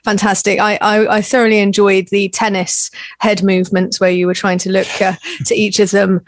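A woman's voice: medium pitch, flat delivery, moderate pace, no echo. Posh English female host, effusive, dry close-miked sound. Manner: enthusiastic, effusive praise. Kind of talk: casual podcast interview.

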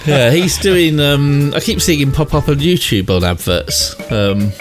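A British man hosting a radio show in casual, chatty conversation, quick to laugh. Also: fairly high pitch. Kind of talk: casual chat. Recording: music playing behind the voice. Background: music.

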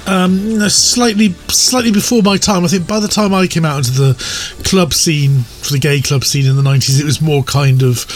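A man's voice: on the high side, steady tone, normal speed, hardly any pauses, soft background music. A middle-aged man co-hosting a radio show, chatting casually in a studio. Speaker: radio co-host and news announcer.

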